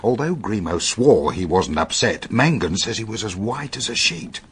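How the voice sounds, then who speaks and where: An actor playing a chatty, wry storyteller in a radio drama, a gruff man with a British accent recounting a tale conversationally. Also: lowish voice, big pitch swings, moderate pace, fairly bright tone, exaggerated voice.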